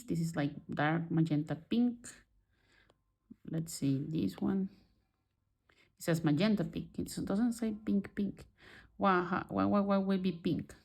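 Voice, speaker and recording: Non-native english woman, kinda low voice, chatty tutorial, keeps restarting her sentences. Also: darkish tone. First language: not English. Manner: chatty, restarts and searches for words. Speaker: digital art tutorial creator. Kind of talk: casual screen-recorded tutorial.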